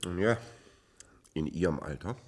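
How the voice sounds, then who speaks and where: An actor playing a smug, sardonic clinic chief in a radio play, pompous, mocking and condescending. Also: male voice, low voice, a little slow, breathy edge. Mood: jovial, condescending. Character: smug, worldly, sardonic male private-clinic chief doctor. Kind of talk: German science-fiction radio play acting.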